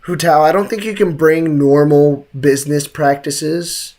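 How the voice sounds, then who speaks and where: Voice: medium pitch, fairly even intonation, measured pace, darkish tone. A game streamer chatting casually with live viewers while reacting to a game, teasing and slangy. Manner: teasing, slangy banter with chat.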